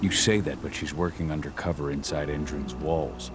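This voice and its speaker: An actor playing a brooding, guilt-ridden werewolf warrior in a video game, speaking in a terse, grave, restrained way. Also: male voice, rumbling low, fairly even intonation, moderate pace, background noise. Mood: guarded, remorseful. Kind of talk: video game cutscene acting.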